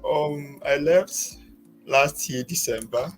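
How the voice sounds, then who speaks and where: A young man from Nigeria with a Nigerian accent, a guest telling his life story in a soft, hesitant way with many pauses. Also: fairly high pitch, faint music behind, poor audio. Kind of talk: personal story in an interview.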